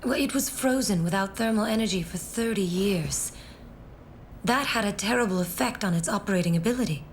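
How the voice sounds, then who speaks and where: An actress playing a grave widowed survivor in a video game, speaking in a measured, solemn way. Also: female voice, medium pitch, a little hiss or hum, lightly acted. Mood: serious, measured. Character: grave widowed female survivor who has outlived her years. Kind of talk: English-dubbed video game cutscene acting.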